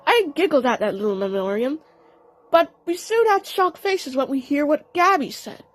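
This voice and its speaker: Woman with a super high voice telling a fan story, earnest, dramatic narration. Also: lively intonation, normal speed, no echo. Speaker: narrator of a fan audio play.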